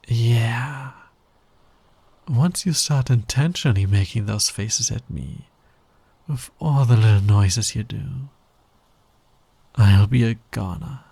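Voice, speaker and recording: A man playing a sly, teasing, dominant fantasy villain in an intimate ASMR roleplay, breathy and husky, often near a whisper. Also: medium pitch, warm tone, no room sound, exaggerated voice. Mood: flirtatious confession. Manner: slow, teasing, deliberate delivery. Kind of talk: intimate ASMR roleplay, romantic audio drama.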